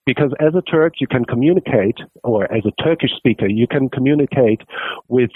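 A man speaks as an expert guest, piecing his explanations together with frequent pauses and restarts. His voice comes through a thin, phone-like line with no room sound. His pitch sits in a middle range for a man. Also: husky, dry recording. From Germany. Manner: hesitant, many fillers and restarts, explanatory. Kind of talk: radio interview answers. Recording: tinny dry phone line.

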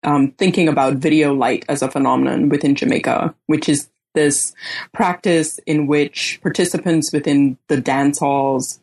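A woman from the Bahamas answering interview questions about her work, measured and thoughtful, with many pauses and fillers. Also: low-pitched, fairly even intonation, a little mellow, husky, rough recording. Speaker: guest author.

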